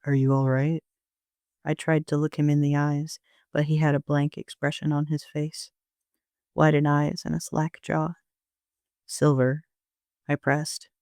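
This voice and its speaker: Male amateur narrator: slightly high voice, flat and understated, gravelly fry, first-person story reading. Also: moderate pace, no echo, clean studio sound.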